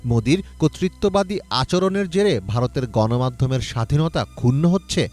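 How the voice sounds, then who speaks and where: A man's voice: fairly high pitch, animated, a little fast, a little mellow. A man with a Bangladeshi accent reading a scripted news report as a voice-over.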